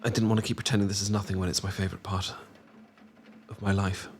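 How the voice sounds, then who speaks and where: A British man playing a shy, tender young man in an intimate ASMR boyfriend roleplay, speaking softly and haltingly with stammers and gentle teasing. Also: lowish voice, little pitch movement, slight background noise, lightly acted. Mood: nervous, tender. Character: shy, tender young man confessing love to old friend. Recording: clear background ambience of rain. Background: rain ambience.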